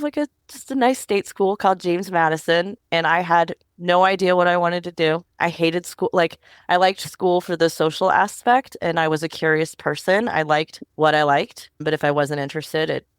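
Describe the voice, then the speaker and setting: A chatty woman guest on a podcast, from Northern Virginia, talking through her story with easy, self-deprecating humor. Her voice is smooth and resonant, recorded close and dry with no room sound. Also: deep voice, dry recording. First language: Korean. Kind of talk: casual interview storytelling.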